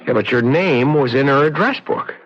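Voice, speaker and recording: An American actor playing a blunt, skeptical investigator with a husky voice in a 1970s radio drama. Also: male voice, mid-range voice, expressive, moderate pace, a bit bright. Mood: insistent, grim. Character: dogged, skeptical man investigating a death. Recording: muffled 1970s radio recording.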